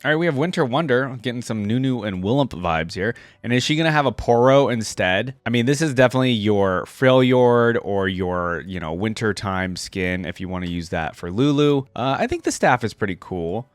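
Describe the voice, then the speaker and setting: A man's voice: mid-range voice, expressive, normal speed, hardly any pauses, no room sound. A man giving casual, unscripted reaction commentary, thinking aloud in an informal way as he goes. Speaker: reaction video host.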